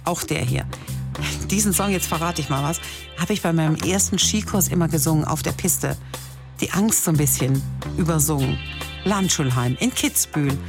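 A woman presenting a radio music feature, telling personal stories in a lively, chatty way. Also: on the low side. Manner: lively storytelling, chatty asides. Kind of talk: personal scripted narration.

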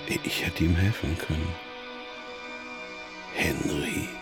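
A man's voice: rumbling low, takes it slowly, gravelly, over loud music, full character voice. An actor playing a bewildered, shaken man muttering in disbelief in a radio drama. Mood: troubled. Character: bewildered, shaken man doubting his own memory. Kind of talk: German radio drama acting.

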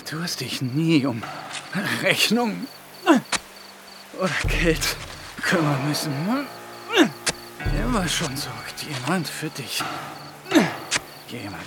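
An actor playing a secretive, burdened male accomplice in a radio drama, speaking in a deliberate way. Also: fairly high pitch, exaggerated voice. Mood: strained, bitter. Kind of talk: radio drama acting with intimate inner monologue. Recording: music under the voice.

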